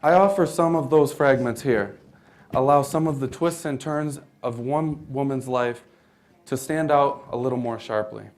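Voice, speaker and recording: A man's voice: medium pitch, moderate pace, a little mellow. A young American man reading scripted narration for a readers theater performance, formal, with occasional stumbles over the text. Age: young adult.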